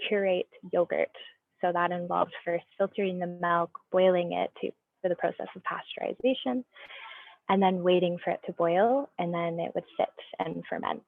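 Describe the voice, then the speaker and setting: Woman, presenter, measured explaining, dry, phone-quality audio. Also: lowish voice, gravelly, no room sound. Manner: measured and explanatory, with small restarts. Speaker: guest speaker presenting research. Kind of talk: presentation on a video call. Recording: tinny phone-like line, dry.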